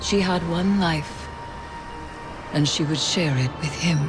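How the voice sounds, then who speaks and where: An actress playing a solemn, mysterious woman who confides a sorrowful past, grave and hushed, in scripted game narration. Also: low-pitched, loud music behind the voice. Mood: solemn, wistful. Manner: grave, hushed, deliberate storytelling.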